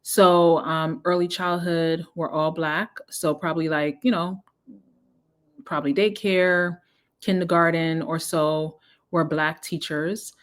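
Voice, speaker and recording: Woman, 30s, from Jamaica, slightly low voice, casual research-channel host, self-correcting and chatty. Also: steady tone, slow pace. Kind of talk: casual solo vlog talk reading research abstracts.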